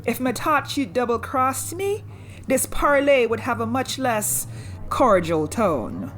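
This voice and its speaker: Pirate captain, sardonic, curt, mock-polite, slow delivery, fantasy radio play. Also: female voice, a little high, very animated, a bit bright, soft background music, exaggerated voice. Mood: cold, scornful. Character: commanding, sardonic female pirate captain owed a debt. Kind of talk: fantasy audio drama acting.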